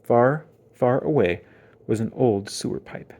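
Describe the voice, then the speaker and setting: A man narrating a children's book aloud as dramatic storytelling, with theatrical emphasis and suspenseful pauses. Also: medium pitch, animated, mellow, slight background noise.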